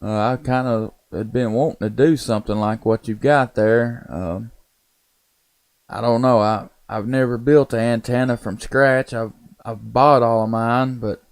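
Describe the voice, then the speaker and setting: Smooth-voiced Southern American man chatting. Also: mid-range voice, fairly even intonation, a little slow. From Tennessee, United States. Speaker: amateur radio operator and live streamer. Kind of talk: casual ham radio chat.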